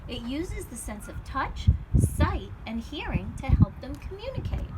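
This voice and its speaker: Woman playing a kind woman teacher, highish voice, animated, encouraging, explanatory, noisy recording. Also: a little slow. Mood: explaining. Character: patient, kind woman schoolteacher. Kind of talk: storybook read-aloud character voice. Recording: loud background noise.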